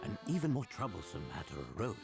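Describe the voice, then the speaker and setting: A woman voicing a calm, courteous gentleman professor from a puzzle game in a formal, measured, polite manner. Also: very deep voice, creaky, over music, voice pushed down, heavily acted. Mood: apologetic, composed. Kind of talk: voiced game playthrough.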